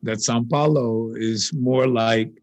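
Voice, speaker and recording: A man from New York, a guitarist and composer, talking casually in an interview and telling rambling, animated stories with many restarts. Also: mid-range voice, measured pace, no echo.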